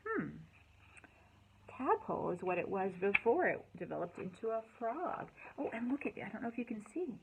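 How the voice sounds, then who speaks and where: A woman hosting a children's storytime, reading aloud and chatting in an animated, encouraging way with simple words for young listeners. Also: a little high, moderate pace, a little hiss or hum, poor audio. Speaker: children's librarian and storytime host.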